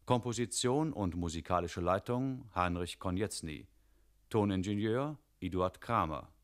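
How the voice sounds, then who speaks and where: A man announcing formally for radio, reading from a script with measured, precise enunciation. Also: low voice.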